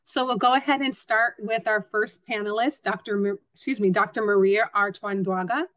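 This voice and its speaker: A woman's voice: mid-range voice, steady tone, moderate pace, fairly bright tone, no echo. A woman in her thirties moderating a webinar panel, polite and formal. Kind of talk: scripted moderation.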